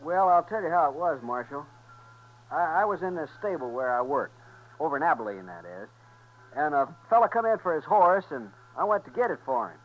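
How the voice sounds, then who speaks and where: Casting: man playing nervous small-town stable hand, highish voice, thin phone-like old radio recording. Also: animated, a little fast, close to an everyday voice. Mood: rambling, earnest. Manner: folksy, rambling. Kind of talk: 1950s radio western acting.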